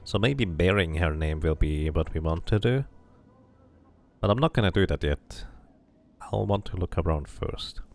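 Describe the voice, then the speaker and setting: A man streaming a game, mixing casual commentary with expressive read-aloud narration. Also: low-pitched, normal speed, vocal fry, long pauses.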